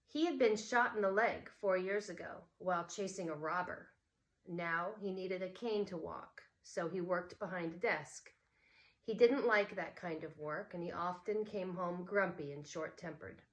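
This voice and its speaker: A woman's voice: on the low side, measured pace. A woman teacher who talks informally to her students and reads stories aloud expressively. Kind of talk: casual talk and expressive read-aloud.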